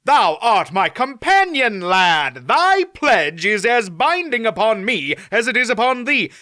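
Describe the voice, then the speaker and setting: A man voicing a chivalrous, formal-spoken knight, a little raised and bright. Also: high-pitched, very animated, unhurried, dry recording, studio quality, pitched above the performer's own voice, heavily acted. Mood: earnest. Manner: old-fashioned formal speech. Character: boastful chivalrous male knight, formal, archaic.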